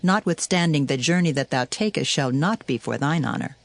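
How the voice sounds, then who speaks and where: A woman's voice: deep voice, animated, a little fast, gravelly. A woman playing a resolute biblical prophetess and judge in a solemn, declamatory scripture drama. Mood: resolute, prophetic.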